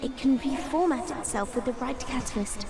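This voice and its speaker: A woman's voice: high voice, normal speed, a crowd in the background. A woman playing a dying magical tree seed spirit in a fantasy audio drama, speaking in a formal, grave, earnest way. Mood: solemn.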